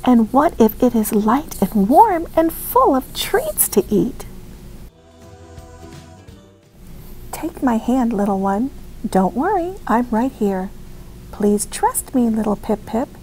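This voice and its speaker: A woman voicing a gentle, caring mother in a storybook read-aloud, speaking softly and tenderly. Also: sits high, big pitch swings, takes it slowly, hardly any pauses, a little hiss or hum, voice pushed up, heavily acted, rough recording. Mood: coaxing.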